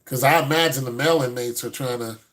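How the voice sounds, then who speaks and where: A man's voice: mid-range voice, fast talker, fairly bright tone. Blunt no-nonsense man in his fifties. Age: 50s. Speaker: host giving advice to a caller. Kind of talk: casual advice chat.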